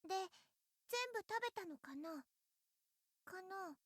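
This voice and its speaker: A woman voicing a sweet, cheerful teenage girl in a game, soft and high, with a hidden edge under the kindness. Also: expressive, normal speed, dry recording. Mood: sweetly probing. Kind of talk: Japanese game voice acting.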